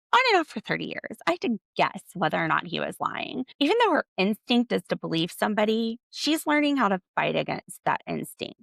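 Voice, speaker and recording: A woman speaks in a fairly low voice thick with creaky fry. She delivers casual, opinionated commentary like a podcast host, with a sardonic edge. The sound is dry, with very little room around it. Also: a bit bright, no room sound. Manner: opinionated, sardonic, quotes others conversationally. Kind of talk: casual solo TV recap commentary.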